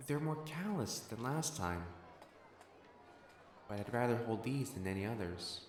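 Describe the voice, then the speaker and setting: Man playing a haughty, flustered young nobleman, wildly expressive. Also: medium pitch, unhurried, a little hiss or hum, lightly acted. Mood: wistful, formal. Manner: haughty formal diction, stammering when flustered. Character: proud, flustered, possessive young noble matchmaker, secretly smitten. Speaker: voice actor in a romance audio roleplay. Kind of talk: acted romantic audio drama monologue to the listener.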